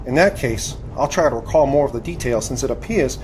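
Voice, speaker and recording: A man plays a wary, plainspoken hero of an adventure audio drama. He speaks earnestly in a mid-pitched voice, with a questioning manner and faint noise behind him. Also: lively intonation, a little fast, darkish tone, close to an everyday voice. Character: plainspoken, wary young man drawn into secret mission.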